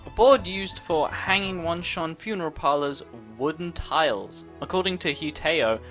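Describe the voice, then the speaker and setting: A woman game streamer giving casual, expressive, giggly let's play commentary. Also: low-pitched, moderate pace, soft background music.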